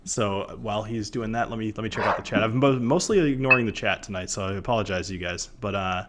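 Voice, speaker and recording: A man's voice: on the low side, fast talker, background noise. A man in his thirties hosting a live stream in a casual, rambling, jokey conversational way. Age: 30s. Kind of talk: casual chat about whiskey on a live stream.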